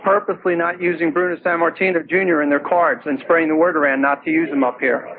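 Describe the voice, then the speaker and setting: Higher-voiced, confrontational male phone caller. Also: fairly even intonation, quick pace, a crowd in the background. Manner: confrontational, assertive. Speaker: radio show caller. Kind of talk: pointed call-in questioning. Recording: tinny phone line on old radio.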